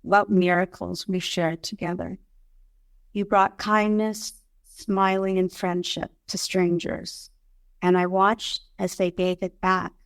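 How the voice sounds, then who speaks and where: A woman's voice: on the low side, flat delivery, slow, no echo. A woman giving a heartfelt spoken tribute. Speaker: speaker in a played tribute clip.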